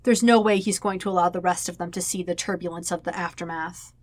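A woman's voice: fairly low pitch, fairly even intonation, fast talker, dry recording. A woman narrating a story in a dramatic, scripted audiobook style. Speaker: fan fiction narrator.

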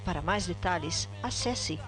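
A woman announcer reading radio ads in a clear, promotional tone. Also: fairly low pitch, expressive, measured pace. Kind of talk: radio ad read. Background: music.

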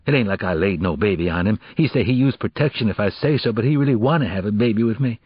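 A man's voice: lowish voice, animated, fast talker, husky, no room sound, dull audio. A man voicing an evasive, lovestruck teenage girl in an audiobook, slangy, reluctant and defensive as she confesses. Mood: defensive, hurt.

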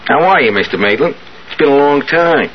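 An actor playing an eager young working-class suitor in a 1970s radio drama, halting, slangy and earnest. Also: male voice, fairly high pitch, animated, lightly acted. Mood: polite, nervous. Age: young adult. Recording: dull, hissy vintage radio broadcast.

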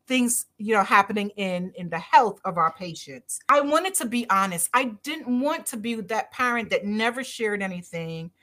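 A woman's voice: mid-range voice, lively intonation, normal speed, few pauses, bright, forceful tone. Woman from barbados, middle-aged, doctor on a podcast, earnest, emphatic. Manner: earnest, emphatic, repeats key phrases. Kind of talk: conversational interview.

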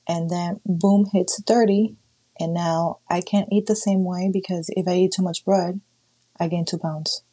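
A woman's voice: lowish voice, mellow, slightly rough, poor audio. Chatty thirties woman gossip podcaster. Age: 30s. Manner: chatty, full of "like" fillers and casual swearing. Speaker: podcast host. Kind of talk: casual gossip podcast monologue.

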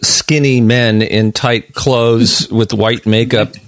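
Guy hosting a chat, middle aged, mid-range voice, dry close sound, kinda halting. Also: medium pitch, steady tone, dry recording. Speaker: interviewer, host. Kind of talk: casual chat.

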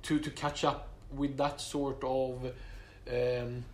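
A man speaking English, which is not his first or second language, answering interview questions about his application in a measured way, with many fillers and restarts. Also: mid-range voice, level tone, slight background noise. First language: not English; English is his third language.

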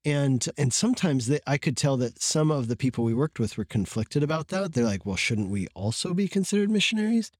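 A man with a mid-range voice recalls his years in ministry in a relaxed storytelling way. He talks casually and thinks aloud. The sound is dry and close. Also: mid-range voice, brisk, hardly any pauses, no room sound. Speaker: former missionary pastor. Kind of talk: podcast conversation.

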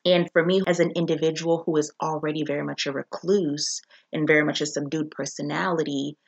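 A woman's voice: low-pitched, steady tone, brisk, gravelly, slightly rough, dull audio. A woman from Zambia hosting her own podcast, talking in a reflective, earnest way with frequent restarts. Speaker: podcast host. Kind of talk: casual solo podcast introduction.

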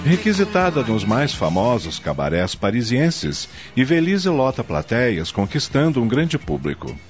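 A man's voice: medium pitch, big pitch swings, unhurried, lo-fi sound. A man with a Brazilian accent narrating a scripted radio drama with dramatic storytelling delivery. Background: faint music.

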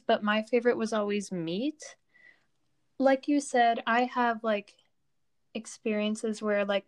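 A woman's voice: medium pitch, clear, resonant tone. A teenage girl from Turkey co-hosting a student podcast, chatting casually with lots of fillers.

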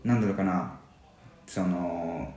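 Man playing halting young part-timer, echoey. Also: low-pitched, steady tone, dark tone, voice pushed down. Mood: awkward, halting. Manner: halting, rambling explanations. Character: young male part-time worker, awkward film fan. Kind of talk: amateur radio drama skit. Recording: roomy, echoey room sound.

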